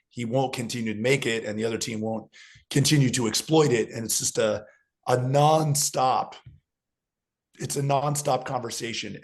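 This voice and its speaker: A hoarse man, a football coach, explaining his views in a thoughtful, casual conversation. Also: medium pitch. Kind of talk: casual video chat conversation.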